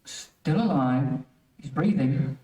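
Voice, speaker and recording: An actor playing a bewildered, alarmed doctor with exclamatory delivery in old-time radio melodrama. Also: male voice, a little high, animated, slow pace, mellow. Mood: shocked. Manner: exclamatory, incredulous. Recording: echoey room, far from mic.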